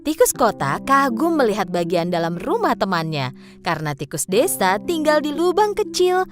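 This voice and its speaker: A woman's voice: a little high, big pitch swings, normal speed. A woman narrating a scripted children's story. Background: music.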